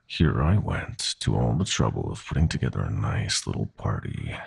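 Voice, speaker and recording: A man playing a smug, sadistic demon prince of nightmares in an audio roleplay, with a husky, gravelly voice and a mock-polite, taunting drawl. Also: rumbling low, very animated, normal speed, exaggerated voice. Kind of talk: audio roleplay villain acting.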